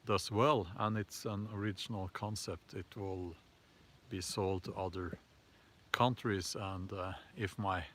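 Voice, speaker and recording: A man with a Norwegian accent hosting a casual live chat and answering viewer comments, talking haltingly with many fillers and restarts. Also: low voice, steady tone, fairly bright tone. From Norway.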